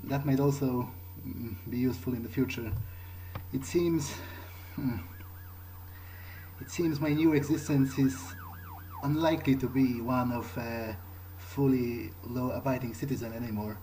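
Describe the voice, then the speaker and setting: A man role-playing his character in a video game playthrough, thinking aloud in a hesitant, halting, musing way. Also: mid-range voice, fairly even intonation, warm tone, slight background noise, low-quality recording. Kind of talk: improvised in-character monologue over a video game.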